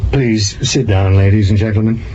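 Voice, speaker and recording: An actor playing a shrewd, formally polite male French police commissioner, deliberate and commanding, in a period mystery audio drama. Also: lowish voice, steady tone, highs cut off, heavy background noise, natural voice. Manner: deliberate, courteous, theatrical, commanding.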